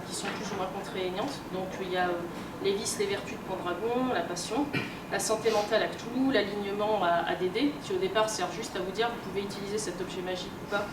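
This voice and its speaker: A woman giving an informal conference talk, speaking colloquially and hesitantly as if thinking aloud. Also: mid-range voice. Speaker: conference speaker, researcher and editor. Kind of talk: informal lecture on role-playing games. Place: convention room, in front of an audience. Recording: echoey, very noisy room, distant mic.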